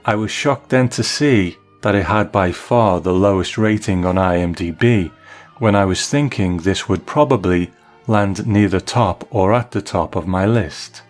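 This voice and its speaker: A man hosting a podcast, reading a listener's email aloud in a measured, articulate way. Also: sits low, faint music behind.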